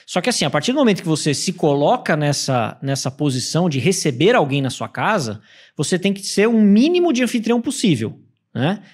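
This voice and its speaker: Podcast guest in his thirties: a man with a higher voice who tells anecdotes with plenty of energy. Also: fairly quick, breathy edge. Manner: animated storytelling, acts out quoted people. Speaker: podcast guest, Airbnb host. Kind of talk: casual podcast chat. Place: podcast studio. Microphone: studio mic with headphones.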